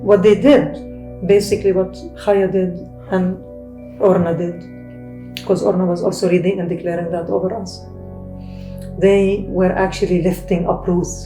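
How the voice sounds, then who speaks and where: Mellow-voiced woman telling a story. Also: lowish voice, moderate pace, echoey room, soft background music, rough recording. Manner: animated storyteller, recounts scenes with quotes. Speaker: panel speaker. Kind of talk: storytelling conversation.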